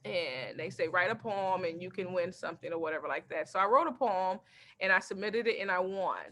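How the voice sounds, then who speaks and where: Bright, animated woman comedian telling stories. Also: lowish voice, brisk. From Texas. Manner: animated storyteller, acts out quotes, laughs often. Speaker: stand-up comedian, guest. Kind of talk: casual interview chat.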